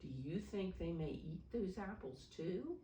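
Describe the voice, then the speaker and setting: A woman reading a picture book aloud to small children, pausing to ask simple questions and explain. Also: on the low side, lively intonation, mellow, slight background noise. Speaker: preschool storybook reader, teacher figure. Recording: roomy echo, distant mic.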